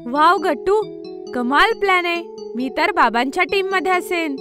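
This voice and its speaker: Very high, cheeky little girl. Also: expressive, normal speed, over music. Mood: excited, boastful. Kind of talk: children's cartoon story dub.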